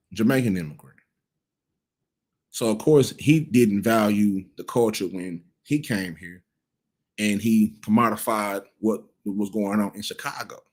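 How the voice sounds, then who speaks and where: A man's voice: lowish voice, darkish tone. A man in his thirties giving casual cultural commentary, blunt and profane, rambling with frequent restarts. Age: 30s.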